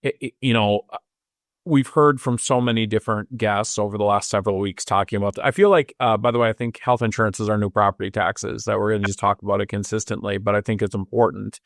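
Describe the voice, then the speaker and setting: A man's voice: lowish voice, steady tone, quick pace, no room sound, studio quality. A man of fifty co-hosting a talk show, agreeable and chatty with frequent fillers. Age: 50s. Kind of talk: casual political chat.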